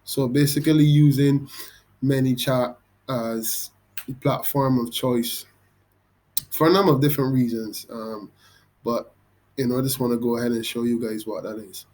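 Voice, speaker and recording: A young Barbadian man walks through his screen in a loose, unscripted way. He hesitates often, fills gaps with "um" and restarts his sentences. His voice sits at an ordinary middle pitch. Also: fairly even intonation, moderate pace, long pauses, a little mellow. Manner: hesitant, many ums and restarts. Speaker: vlogger. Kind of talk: casual screen-recorded walkthrough.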